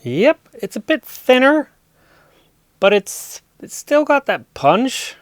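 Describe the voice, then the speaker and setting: A man hosting a casual hands-on audio gear demo, relaxed and appreciative as he thinks aloud. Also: very high voice, slow pace. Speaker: host demonstrating audio gear.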